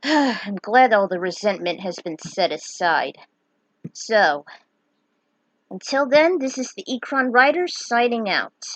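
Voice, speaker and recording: A woman's voice: medium pitch, fairly bright tone, no room sound, rough recording. A woman giving casual, opinionated commentary as a fan fiction author, with a blunt way of talking. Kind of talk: casual author's commentary with some story reading.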